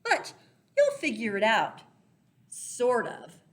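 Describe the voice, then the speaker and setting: A woman reading a picture book aloud to young children as a storyteller, expressive and deliberate, stressing key words and speaking directly to her listeners. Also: fairly high pitch. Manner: talks directly to young listeners, asking simple questions. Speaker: children's storyteller for a church Sunday school.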